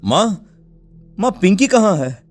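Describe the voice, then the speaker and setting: A man's voice: high voice, very animated, takes it slowly, faint music behind, exaggerated voice. A man voicing a village father in a cartoon, anguished and pleading as he searches for his lost daughter. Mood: panicked. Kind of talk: cartoon voice acting.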